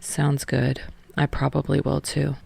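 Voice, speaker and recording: A woman's voice: sits low, completely flat delivery, unhurried, gravelly, darkish tone, breathy edge, no echo, heavily acted. A woman voicing a reserved, dry young man of few words, terse and understated, as an audiobook character. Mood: low-key. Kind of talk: fan fiction audiobook character voice.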